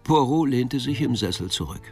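An actor narrating a German radio murder mystery in a measured, atmospheric storytelling manner. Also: male voice, medium pitch, expressive, faint music behind, close to an everyday voice. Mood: measured, suspenseful. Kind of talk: scripted narration in radio drama.

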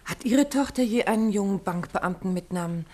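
An actress playing a composed, sympathetic detective's wife and partner in a 1950s German radio play, attentive and polite. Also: female voice, medium pitch, fairly quick, darkish tone, lightly acted. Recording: noisy 1950s radio drama recording.

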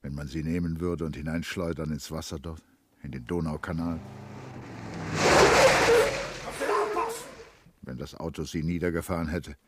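An actor as a grave, brooding narrator of a literary radio play, telling it in the present tense. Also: male voice, a bass voice, fairly even intonation, fairly quick, very noisy. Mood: subdued, grave. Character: grave, brooding male narrator of a woman's inner turmoil.

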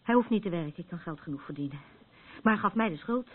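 A woman's voice: fairly low pitch, lively intonation, quick pace, breathy edge. An actress in a radio play playing a worn-out, frayed woman who broods and repeats her phrases. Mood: brooding recollection. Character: worn-out, frayed woman burdened by an unstable man. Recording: thin phone-like old radio recording, noisy.

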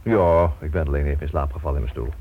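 A man's voice: very deep voice, steady tone, fast talker, breathy edge, heavily acted. An actor in a Dutch radio drama playing an anxious, stubborn, defiant middle-aged father. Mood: uneasy. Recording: muffled old radio recording, faint noise.

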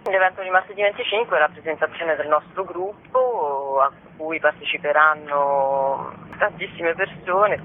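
A woman being interviewed on the radio as a guest bookseller. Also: low voice. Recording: muffled narrow phone line with noise.

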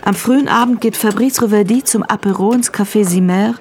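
An actress as the sober, factual narrator of a radio crime drama. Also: female voice, medium pitch, brisk, darkish tone, a little hiss or hum, close to an everyday voice.

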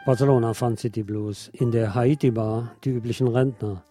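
A man hosting a radio show, reading a scripted personal essay in measured, short literary phrases. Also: mid-range voice, steady tone, dark tone, faint music behind. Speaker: radio host.

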